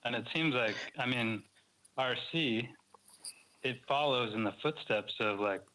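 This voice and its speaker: Man, from the US, caller, blunt, casual swearing, mid pitch. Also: unhurried, bright, forceful tone, rough recording. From United States. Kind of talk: casual call-in chat.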